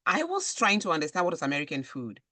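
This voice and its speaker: Female host, animated interviewer, dry recording. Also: on the low side, quick pace, no room sound. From Cameroon. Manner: animated questioning, frequent backchannels. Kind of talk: interview conversation.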